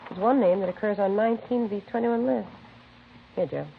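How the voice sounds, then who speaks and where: A woman's voice: medium pitch, brisk, vocal fry, natural voice. An actress playing a practical, understated policewoman in an old radio crime drama. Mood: pleased, businesslike. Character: practical, matter-of-fact policewoman colleague. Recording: muffled tinny vintage radio, faint noise.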